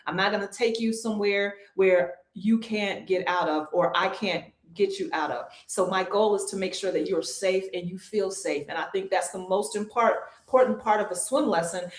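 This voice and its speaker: Calm, reassuring woman in an echoey room. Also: mid-range voice. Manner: calm, reassuring, repeats key points. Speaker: swim instructor. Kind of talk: interview answers.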